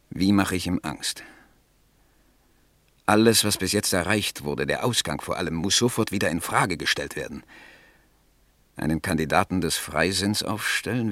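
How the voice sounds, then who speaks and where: A man's voice: low voice, fairly bright tone, no room sound. A man playing a sardonic, calculating suitor who plots political revenge in a 1970s German radio play.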